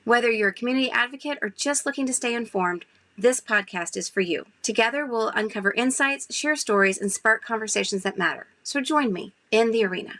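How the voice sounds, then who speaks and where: A woman's voice: fairly high pitch, fairly quick, pushed and bright. Upbeat woman podcast host. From Arkansas. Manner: upbeat, enthusiastic. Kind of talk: scripted intro and friendly interviewing.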